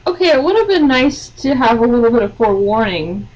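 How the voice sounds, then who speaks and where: Distant, higher-voiced sarcastic woman. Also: sing-song, a little fast, a little mellow, soft background music. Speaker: podcast host. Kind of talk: casual comic commentary. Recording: distant mic, faint noise.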